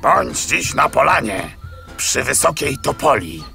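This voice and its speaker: A man acts out a small magic raven from a storybook, kindly and solemn. The voice is creaky and slow, instructing with deliberate care over music. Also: mid-range voice, sing-song, bright, forceful tone, voice pushed up, exaggerated voice. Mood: solemn, helpful. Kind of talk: storybook character voice.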